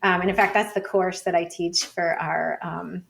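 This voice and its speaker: A woman's voice: on the low side, steady tone, moderate pace, creaky, a bit bright. A woman guest on an interview show, a professor explaining her field thoughtfully in loose, conversational phrasing. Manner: thoughtful, hedging with "like" and "sort of". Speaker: guest, professor of urban studies and planning.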